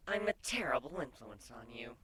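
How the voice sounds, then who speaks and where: A man voicing an affectionate young female changeling pony, softly and breathily, with a gentle, teasing manner, for a fan fiction reading. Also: medium pitch, very animated, normal speed. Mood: playful, cozy. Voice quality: soft, breathy, half-whispered.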